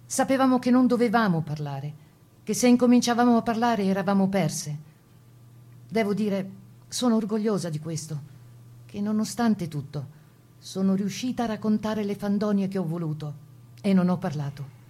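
An actress playing a proud Italian Resistance partisan and mother, telling her memories in a measured, reflective testimony for a radio play. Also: mid-range voice, mellow, close to an everyday voice. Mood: firm, proud. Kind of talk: dramatized first-person testimony in a radio play.

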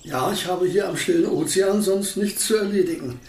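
A gentle scatterbrained old professor, kinda high voice, polite n hesitant, sorry about everything, radio drama. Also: male voice, normal speed. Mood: mild, resigned. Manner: hesitant, courteous, apologetic. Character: gentle, absent-minded, apologetic elderly male physicist. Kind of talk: German radio play acting.

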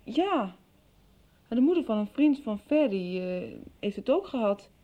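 A woman's voice: fairly high pitch, big pitch swings, measured pace, mellow. An actress in a radio soap playing a hurt yet concerned young woman who confides and questions earnestly. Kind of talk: 1990s Dutch radio soap acting.

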